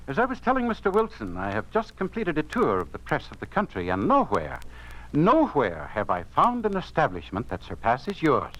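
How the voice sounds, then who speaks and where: A man acting a hesitant, blandly ominous government official in a 1950s radio drama, repeating himself with halting politeness. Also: fairly high pitch, wildly swooping pitch, a bit bright, lo-fi sound, full character voice. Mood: formal, halting. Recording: noisy 1950s radio recording.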